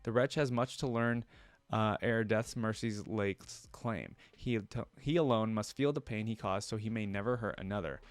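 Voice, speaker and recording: A man's voice: on the low side, moderate pace, no echo, lightly acted. A man reading the storybook dialogue of a stern, solemn veiled woman who passes judgment. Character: stern veiled female judge demanding empathy through pain. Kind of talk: storybook dialogue read aloud.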